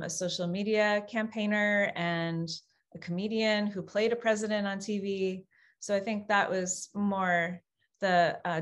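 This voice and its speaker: Thoughtful woman guest, many pauses. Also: medium pitch. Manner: thoughtful, hesitant with many fillers. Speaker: guest speaker, researcher. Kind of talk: webinar interview answers.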